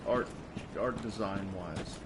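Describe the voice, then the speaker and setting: A man's voice: sits low, takes it slowly. A man co-hosting a game stream, chatting casually and joking as he reacts to the play. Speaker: co-host watching and reacting. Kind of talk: casual chat over gameplay. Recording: noisy, game ambience behind.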